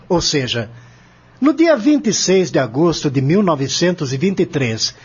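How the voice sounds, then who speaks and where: A man's voice: sits high, slightly rough, heavily acted. A man with a Brazilian accent playing a stern courtroom examiner who questions formally and insistently in an audio drama. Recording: dull, lo-fi audio.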